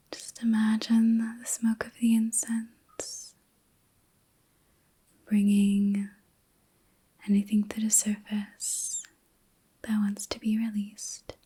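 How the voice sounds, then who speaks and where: A woman hosting an ASMR reiki session in a soft, breathy whisper, gentle and soothing, with unhurried pauses. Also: medium pitch. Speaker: ASMR host and reiki practitioner.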